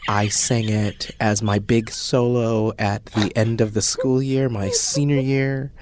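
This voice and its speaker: A man's voice: on the low side, crowd noise. Self-mocking man telling a story. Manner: animated, self-mocking, quick asides. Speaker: podcast guest. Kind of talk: casual storytelling.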